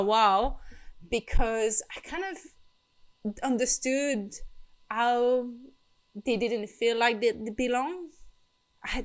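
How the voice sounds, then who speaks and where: Slow, high Quebecois woman vlogging. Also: fairly bright tone. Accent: Quebecois French. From Quebec, Canada. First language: French. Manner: frequent ums, laughs between phrases. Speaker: channel host. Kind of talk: personal vlog storytelling.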